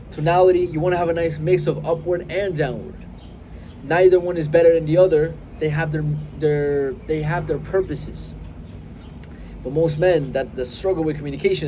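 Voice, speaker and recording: A young man in his twenties speaks straight to a phone held close, coaching with confident emphasis and deliberate pauses. His voice sits a touch high yet stays warm and mellow. Faint outdoor noise hums underneath. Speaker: self-improvement coach. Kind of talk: direct-to-camera advice vlog.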